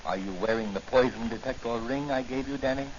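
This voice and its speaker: An actor playing a kindly older apothecary doctor and mentor in a 1940s radio drama. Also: male voice, medium pitch, a little fast, slight background noise, close to an everyday voice. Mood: thoughtful. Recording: muffled old 1940 radio recording with music.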